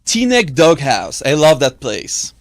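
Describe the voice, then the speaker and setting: A man in his thirties, a studio guest, chatting casually and running through a list with many pauses and uhs. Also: on the high side, wildly swooping pitch, slight background noise. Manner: lists names with many uhs. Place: small cluttered radio studio. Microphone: studio mic.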